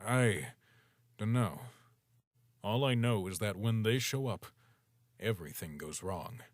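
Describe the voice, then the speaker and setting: A man's voice: lively intonation, slow pace, no room sound, clean studio sound. A man voicing a weary, laid-back male skeleton who talks casually in a comic dub. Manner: low-key, casual slang.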